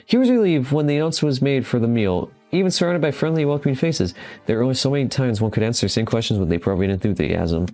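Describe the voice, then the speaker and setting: A man's voice: medium pitch, expressive, fast talker, hardly any pauses, darkish tone, soft background music. A man hosting a gaming video, speaking informally as he comments on games and reads their text aloud. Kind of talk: casual game commentary and reading game text aloud.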